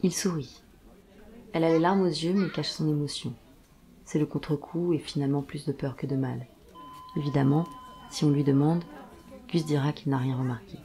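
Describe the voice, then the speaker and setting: A woman's voice: deep voice, steady tone, normal speed, long pauses, mellow. A woman reading scripted narration for an audio drama.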